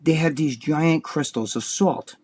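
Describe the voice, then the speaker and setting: An older man with a New Jersey accent, telling a story on a casual podcast. He swears often and acts out quoted lines in a raised voice. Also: a little slow. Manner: animated, profane, acts out quotes in a high voice. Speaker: podcast co-host.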